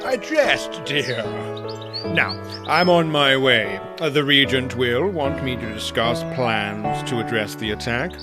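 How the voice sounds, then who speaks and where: An actor playing a genial aging father in an audio drama, speaking with wry, courtly calm. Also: medium pitch, slow pace. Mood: calm, brisk. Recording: loud music under the voice.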